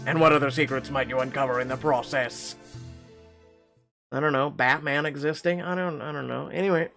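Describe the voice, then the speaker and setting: A man's voice: medium pitch, fairly even intonation, fairly quick, creaky, bright, forceful tone, soft background music. A middle-aged man with a slightly tight throat, reading a story game aloud and rambling with wry asides and many fillers. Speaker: game channel host.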